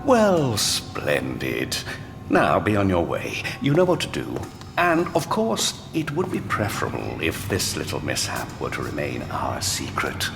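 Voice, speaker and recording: Prim, creaky-voiced, sadistic mad doctor. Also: male voice, rumbling low, huge pitch swings, a little slow, music in the background, heavily acted. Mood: silky menace. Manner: politely menacing, prim, avuncular. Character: genteel, sadistic mad doctor with kindly-uncle manner. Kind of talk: audio drama acting.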